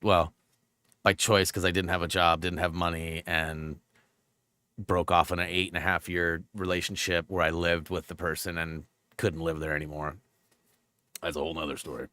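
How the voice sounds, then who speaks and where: A man's voice: low voice, flat delivery, moderate pace, bright, forceful tone, smooth, no echo. A man hosting a gaming livestream in a rambling, casual way, full of fillers, and a bit stuffed up. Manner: rambling, filler-heavy, lots of "like". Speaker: livestream host.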